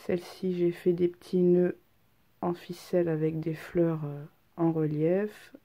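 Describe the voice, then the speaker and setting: A woman craft vlogger with a stuffy head cold talks casually through her handmade work. Her voice sits a little low and sounds mellow and soft-edged, and she pauses often with hesitant ums. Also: little pitch movement. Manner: chatty, hesitant, many ums. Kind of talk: casual craft show-and-tell.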